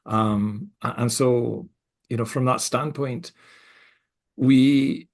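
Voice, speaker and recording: A middle-aged man with a mixed Scottish and American accent, co-hosting a casual podcast conversation and speaking reflectively, with halting restarts and soft laughs. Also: mid-range voice, fairly even intonation. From Scotland. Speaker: co-host.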